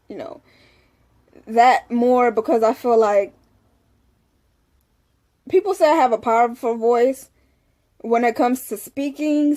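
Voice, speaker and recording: A woman's voice: a little high, darkish tone. A young woman in casual, candid talk to the camera, rambling with frequent "you know"s. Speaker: vlogger.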